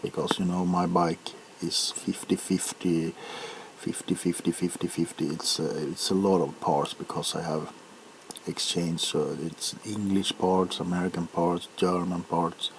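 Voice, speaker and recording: A man hosting a casual, rambling ASMR video, talking in a halting, unhurried way while he handles and taps items. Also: low-pitched, steady tone. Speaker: video host showing items. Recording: clear background noise with clicks. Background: tapping, clicking and crinkling of packaging.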